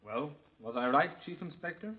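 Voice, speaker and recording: An actor playing a dry, pleasant police doctor in a 1950s radio drama, wry and unhurried. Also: male voice, on the high side, lively intonation, lightly acted. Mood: pleasant, matter-of-fact. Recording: thin, tinny old radio recording.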